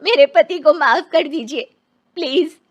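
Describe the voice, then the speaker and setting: A woman's voice: high-pitched, measured pace, highs cut off, pitched above the performer's own voice. A woman voicing a desperate wife and mother who pleads, in a dramatised story. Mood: pleading.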